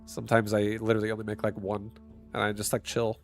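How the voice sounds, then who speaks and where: A man's voice: lowish voice, fast talker, vocal fry, soft background music. Stuffy-nosed man streaming a strategy game. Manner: casual, thinks out loud, bursts into excited shouts. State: stuffy nose. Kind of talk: live gameplay commentary.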